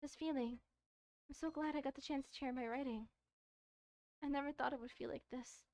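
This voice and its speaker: A woman's voice: high-pitched, fairly even intonation, brisk, a little mellow, dry recording, clean studio sound, close to an everyday voice. A woman voicing a shy, bookish, self-doubting schoolgirl from a visual novel, hesitant and earnest. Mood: wistful, shy.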